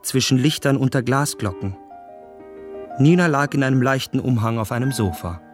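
An actor playing a sensitive, enamoured young gentleman who speaks reflectively in a literary radio drama. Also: medium pitch, animated, normal speed, faint music behind, natural voice. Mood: dreamy.

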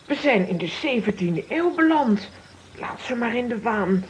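A grown-up, slightly vain comic aunt in a children's audio adventure. Her voice sits fairly high and is theatrical, over a faintly noisy background. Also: expressive, a little slow. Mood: conspiratorial. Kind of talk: children's radio play acting.

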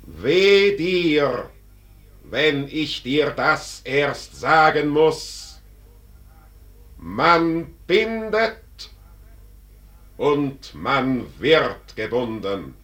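Den Tod: majestätisch, sehr langsam, feierlich. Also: male voice, on the high side, lively intonation, drawn-out words, long pauses, a bit bright, full character voice. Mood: stern, exhorting. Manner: solemn, declamatory, commanding, stately delivery. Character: Death as a solemn, majestic great spirit of the soul. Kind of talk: classic German radio drama, declaimed verse.

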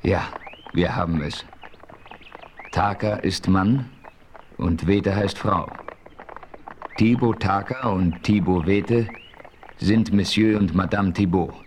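An actor as the first-person frontier narrator of a 1970s radio play, clear and matter-of-fact. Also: male voice, fairly low pitch, slow pace, long pauses, darkish tone, a little hiss or hum. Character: adventurous frontiersman narrator, man.

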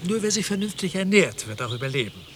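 A man's voice: high-pitched, big pitch swings, quick pace, fairly bright tone. An actor playing a pedantic, self-satisfied, dutiful male office worker in a German radio drama, prim and sententious in manner. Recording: faint noise, early-1980s radio drama tape.

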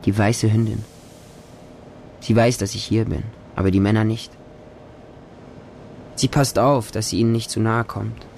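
A man's voice: lowish voice, level tone, measured pace, gravelly, pauses often, a little mellow, a little hiss or hum. A man playing a small, wary homeless boy who narrates his hungry street life in short, simple sentences for a radio drama. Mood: watchful, sad. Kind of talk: radio drama monologue in present tense.

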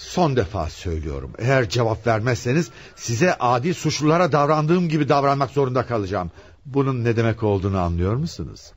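A male actor plays a hard-pressed police commissioner in a radio play. He is stern and insistent, pushing and commanding, with a husky mid-range voice that rises and falls dramatically. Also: mid-range voice, expressive, fairly quick, close to an everyday voice. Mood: angry, threatening. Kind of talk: radio theatre acting.

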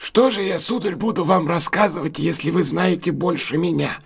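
An actor in an audio drama playing a deferential, wary but honest London cab driver, husky and halting, speaking respectfully to his betters. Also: a little high. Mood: wary, aggrieved.

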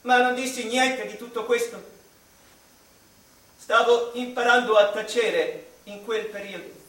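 A man's voice: very high voice, steady tone, a bit bright. A male actor playing a tormented, eccentric mathematician in an emphatic, declamatory radio drama monologue. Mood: defiant, sardonic. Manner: emphatic, declamatory, with deliberate repetitions. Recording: distant echoey room with faint background noise.

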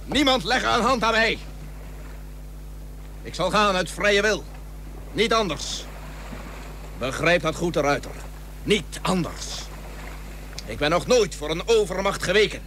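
A high-voiced, defiant naval commander. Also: male voice, animated, a little slow, pauses often, bright, forceful tone, exaggerated voice. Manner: declamatory, defiant, clipped phrases. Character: proud, defiant, hot-headed naval commander, wronged rival. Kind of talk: historical radio play acting. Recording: old radio recording with faint hum.

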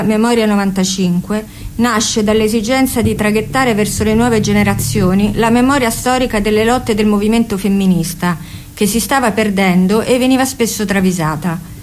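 A woman's voice: mid-range voice, a little hiss or hum. Woman reading a radio narration. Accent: Italian. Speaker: reader. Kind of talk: read-aloud narration for radio.

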